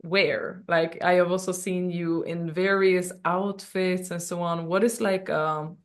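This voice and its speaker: Eager woman co-host, slightly low. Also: fairly even intonation, a little slow. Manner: enthusiastic, asks long rambling questions. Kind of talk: casual interview chat.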